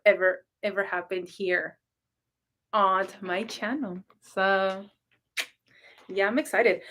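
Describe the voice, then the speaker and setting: A woman hosting a casual livestream, telling a story with a naturally loud voice, rambling and laughing between throat clears. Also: medium pitch. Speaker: livestream host, spiritual reader.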